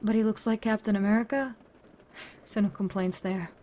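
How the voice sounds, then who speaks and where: A woman's voice: medium pitch, fairly even intonation, normal speed, dark tone, natural voice. Woman acting as a wry, tough-talking woman. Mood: wry, reminiscing. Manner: casual, wry, blunt. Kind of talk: fan audio drama acting.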